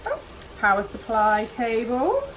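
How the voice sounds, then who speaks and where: A woman's voice: on the high side, wildly swooping pitch, unhurried, noisy background. A woman with hay fever, chatting casually and gushing as she unboxes nail products at home. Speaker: nail vlogger. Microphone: camera mic, voice off camera.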